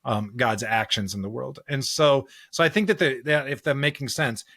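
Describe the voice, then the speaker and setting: An American man with a mid-range voice talks through scripture as a podcast co-host. He is earnest and halting, searching for phrasing and stacking clause on clause. The sound is dry, with hardly any room to it. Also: mid-range voice, bright, forceful tone, no echo. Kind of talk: conversational Bible discussion.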